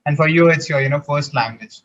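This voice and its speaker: A man's voice: on the high side, brisk, fairly bright tone. A man from India with an Indian English accent, hosting a casual interview, earnest and sometimes searching for words. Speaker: podcast host. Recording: dull lo-fi sound.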